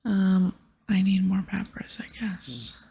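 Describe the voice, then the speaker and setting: Breathy, whispering ASMR woman. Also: mid-range voice, lively intonation, measured pace, mellow. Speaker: ASMR gaming host. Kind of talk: ASMR game playthrough, reading dialogue aloud.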